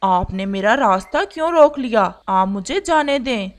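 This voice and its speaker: A woman voicing a gentle, devoted father pigeon in a cartoon, speaking in an earnest, polite, pleading manner. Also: fairly high pitch, animated, normal speed, natural voice.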